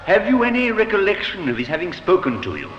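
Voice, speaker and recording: An actor playing a formal, emphatic courtroom defense barrister in a 1950s radio drama. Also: male voice, high voice, wildly swooping pitch, fast talker, fairly bright tone, dull audio, exaggerated voice. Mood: probing. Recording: noisy, echoey old radio recording.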